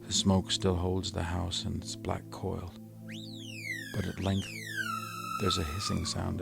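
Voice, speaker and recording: Haunted survivor, very low gravelly voice, halting grave delivery, old radio drama, music behind. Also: male voice. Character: exhausted, haunted male survivor of Martian invasion, scholarly. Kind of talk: 1930s-style radio drama monologue.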